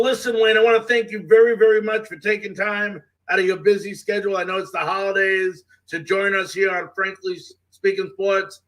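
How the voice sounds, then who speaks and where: A man's voice: sits high, pushed and bright, low-quality recording. A man hosting a live sports talk show, giving updates and responding to viewer comments.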